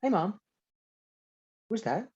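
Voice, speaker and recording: A woman's voice: mid-range voice, big pitch swings, slow, mellow, husky, exaggerated voice. A woman playing a precocious, dryly cheeky child in a comedy table read, heard over dull lo-fi call audio. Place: remote video call read.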